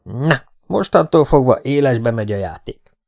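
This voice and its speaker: A man voicing a gruff, tense helicopter pilot in a storybook, curt and urgent. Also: mid-range voice, lively intonation, darkish tone, voice pushed up.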